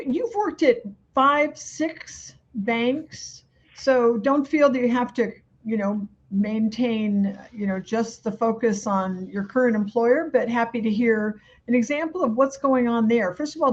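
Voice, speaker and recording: A woman with a fairly high voice leads a panel, firing off loose, chatty questions and steering the talk between guests. Her sound is a little dull and muffled. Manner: brisk, informal questions with fillers like "kind of". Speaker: panel moderator. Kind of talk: moderating a panel discussion.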